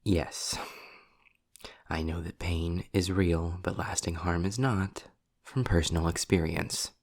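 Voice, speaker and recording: Slow, low-voiced sardonic demon played by a man. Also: steady tone, vocal fry, full character voice. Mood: sarcastic, exasperated. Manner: sarcastic, deliberate, sighing. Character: sardonic, world-weary male demon trapped in hell. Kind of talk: intimate roleplay audio drama.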